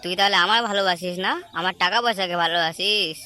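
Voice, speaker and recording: Man as brash, fast-talking young cartoon slacker. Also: high voice, fairly even intonation, noisy background, lightly acted. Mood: irritated. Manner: brash, crude, slangy. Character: cocky, hot-headed young slacker man, bragging to friends. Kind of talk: amateur comedy cartoon dub.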